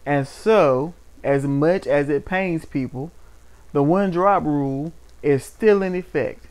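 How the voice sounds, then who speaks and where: A man's voice: on the high side, takes it slowly, a little mellow. A man co-hosting a casual wrestling talk show, wry, stretching words out for emphasis. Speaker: podcast co-host. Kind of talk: casual chat about wrestling.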